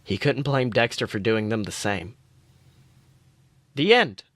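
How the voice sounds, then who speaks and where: A man's voice: on the low side, expressive, a little slow, a bit bright, dry recording. Man narrating fan fiction, dry close sound. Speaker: podcast co-host. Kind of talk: reading fan fiction aloud.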